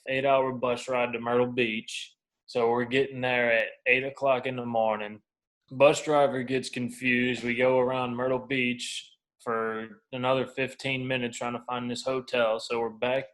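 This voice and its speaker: A man's voice: medium pitch, a bit bright, low-quality recording. Young man chatting candidly in an interview. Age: 20s. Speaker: professional baseball pitcher being interviewed. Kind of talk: casual interview chat.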